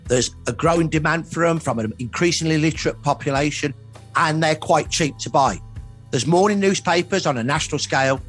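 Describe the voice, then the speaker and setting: A husky-voiced man speaks as a historian in a documentary interview. He explains emphatically, repeating phrases for stress, over faint music. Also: fairly high pitch. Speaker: historian, expert commentator. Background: faint background music.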